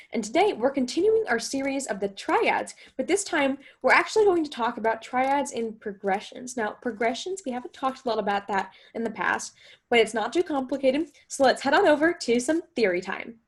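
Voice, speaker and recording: A woman's voice: high voice, expressive, moderate pace, husky. A woman giving an instructional guitar lesson, explaining step by step and often posing questions as she goes. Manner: explanatory, talks through steps with rhetorical questions. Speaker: guitar teacher and host.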